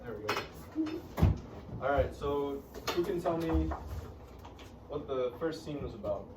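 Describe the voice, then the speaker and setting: A man's voice: mid-range voice, lively intonation, a little slow. A man teaching, talking casually with a class in a discussion, recorded from a distance. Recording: roomy, distant mic with loud background noise.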